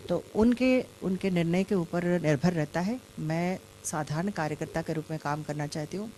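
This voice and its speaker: A woman's voice: lowish voice, racing, dark tone, slight background noise. A woman politician giving an unscripted remark to the press.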